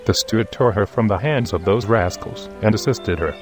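An earnest, devout disciple , played by a man, who recounts events in a measured way. His voice sits slightly low. Soft music plays underneath. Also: natural voice.

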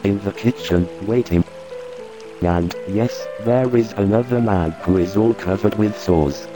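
An actor playing a cautious householder who reports visitors in a matter-of-fact way. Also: male voice, lowish voice, measured pace, over music, natural voice. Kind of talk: radio drama acting.

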